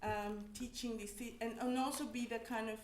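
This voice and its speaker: Woman from mexico on a panel, kinda higher voice, halting, lots of restarts, echoey room. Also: steady tone, a little hiss or hum. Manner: reflective, halting, many restarts. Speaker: academic panellist. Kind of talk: personal testimony on a panel.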